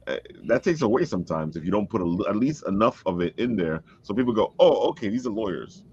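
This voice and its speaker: A man in a casual panel chat, speaking in a halting, conversational way. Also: fairly low pitch, expressive, darkish tone, slightly rough, no echo, muffled recording. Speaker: talk show panelist.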